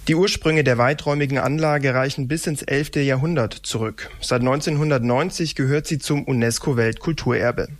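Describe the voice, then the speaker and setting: Dry-sounding male news reporter, mid pitch. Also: moderate pace, few pauses, no room sound.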